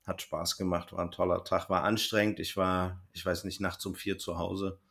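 Male podcast host, lower register, informal conversational delivery. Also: level tone, moderate pace, vocal fry, a bit bright. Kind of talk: casual podcast chat.

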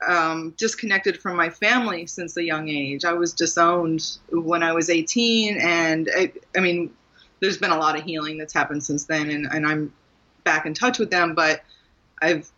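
A woman's voice: low-pitched, fairly even intonation, moderate pace, pushed and bright, muffled recording. A middle-aged woman author from Maryland, talking candidly and with self-deprecating humor in a casual interview, laughing often. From Maryland, USA. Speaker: guest author.

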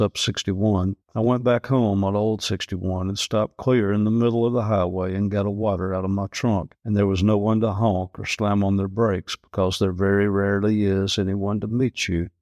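A man's voice: fairly low pitch, a little mellow, no echo, clean studio sound. A man reading lyrical, personal prose from a script. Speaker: reader, a farmer.

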